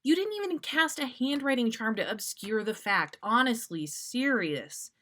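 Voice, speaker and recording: A woman's voice: fairly high pitch, animated, measured pace, bright, forceful tone. A woman voicing a weary, skeptical middle-aged male werewolf in a dry, exasperated way for a story. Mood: irritated.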